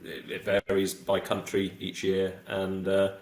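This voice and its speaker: Low-voiced man in an echoey room. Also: unhurried. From south coast of England. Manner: relaxed, hesitant, many fillers and restarts. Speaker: guest. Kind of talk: casual podcast interview.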